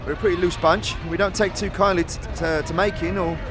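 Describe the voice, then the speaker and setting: A man's voice: high-pitched, fairly even intonation, quick pace, music in the background, close to an everyday voice. A man playing a friendly, chatty young apprentice smith in a fantasy audio drama, speaking in colloquial slang with hesitant starts. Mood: easygoing, chatty.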